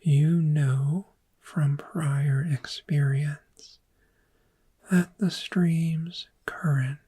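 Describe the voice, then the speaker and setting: A woman playing a calm, gentle dragon therapist in a soft-spoken ASMR roleplay, speaking in a breathy, soothing whisper with long pauses. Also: sits low, heavily acted. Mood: soothing, hypnotic.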